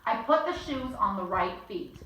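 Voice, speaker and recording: A woman's voice: fairly high pitch, animated, moderate pace, background noise. A woman reading a children's bedtime story aloud as host, chatting between lines and acting out the characters. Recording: echoey room, mic at a distance.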